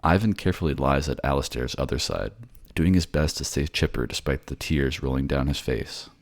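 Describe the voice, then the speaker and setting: A man's voice: very deep voice, a little fast, vocal fry. A man reading scripted fantasy audio drama narration in an ASMR style. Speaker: narrator and voice actor.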